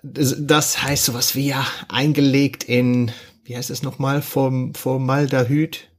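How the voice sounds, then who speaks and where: A man's voice: mid-range voice, little pitch movement, measured pace. Man, Dutch accent, non-native speaker, cheerful, laughs a lot, hunts for words, casual podcast chat. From Netherlands. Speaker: podcast guest.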